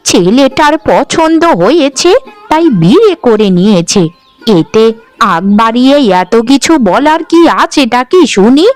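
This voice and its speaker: A woman's voice: sits high, big pitch swings, unhurried, faint music behind, rough recording. A woman voicing a stern middle-aged mother in a cartoon, speaking in a reproving manner. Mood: scolding. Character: stern middle-aged mother defending her daughter-in-law. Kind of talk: Bengali cartoon dialogue acting.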